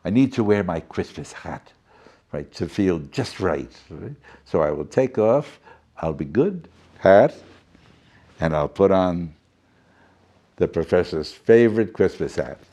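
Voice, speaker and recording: A man's voice: deep voice, lively intonation, a little slow, pauses often, dark tone, pitched below the performer's own voice, heavily acted. A man playing a jolly, fussy professor storyteller who reads a children's storybook theatrically, with chatty asides and muddled words. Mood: chatty, delighted.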